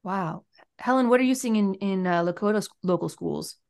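A woman hosting an education podcast, reading a clear, measured introduction and then interviewing guests. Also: mid-range voice, a little mellow. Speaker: podcast host.

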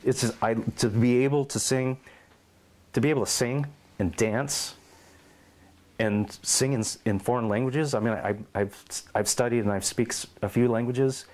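A middle-aged man in an oral history interview at his home, telling lively stories and acting out quotes. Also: mid-range voice, moderate pace. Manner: animated storyteller, acts out quotes and meows.

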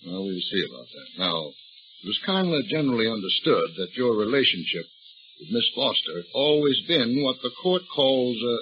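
A folksy, drawling old detective. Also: male voice, mid-range voice, normal speed, husky. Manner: drawling, folksy, calmly needling. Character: shrewd folksy older male police detective. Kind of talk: 1930s radio drama acting. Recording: dull old radio recording with faint hiss.

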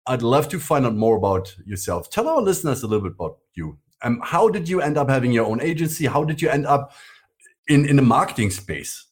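A male podcast host with a husky edge to his voice and a lively, varied pitch. He is friendly and leans on fillers as he asks his guest follow-up questions. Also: brisk. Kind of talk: interview hosting.

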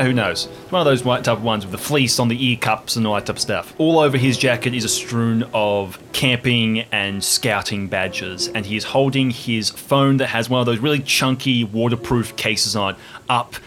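A man's voice: medium pitch, a bit bright. A man playing a tabletop role-playing game, casually improvising a description of his character, with music underneath.

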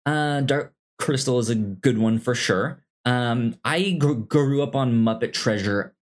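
Very low-voiced woman, hesitant and casual. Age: early 30s. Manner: hesitant, halting delivery with repeated word starts. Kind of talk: casual chat.

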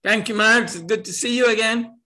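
Older trinidadian guy, high voice, chatty storyteller, laughs a lot. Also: a little fast, pushed and bright. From Trinidad and Tobago. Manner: chatty, laughs often, many restarts. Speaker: podcast guest. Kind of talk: storytelling interview answers.

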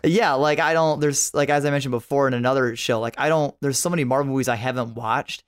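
A man with a medium-pitched voice talks as a podcast co-host. His delivery is casual and conversational, rambling through opinions and peppering sentences with "like". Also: steady tone, quick pace, clear, resonant tone.

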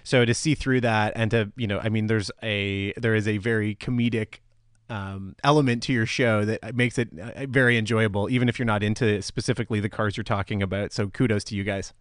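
A man's voice: lowish voice, steady tone, brisk, clear, resonant tone, no echo. Dry-sounding male podcast host. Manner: encouraging, polite, hesitant phrasing. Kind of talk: casual podcast interview.

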